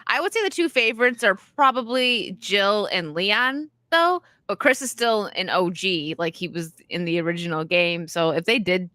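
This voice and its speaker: A woman co-hosting a casual podcast, blunt and quick to laugh. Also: medium pitch, animated, pushed and bright, no room sound. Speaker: podcast co-host.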